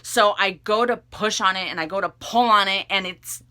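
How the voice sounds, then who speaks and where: A woman vlogger telling a story to camera in a loud, animated, rambling way. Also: medium pitch, moderate pace. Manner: animated, loud, not soft-spoken; rambling with many fillers and restarts.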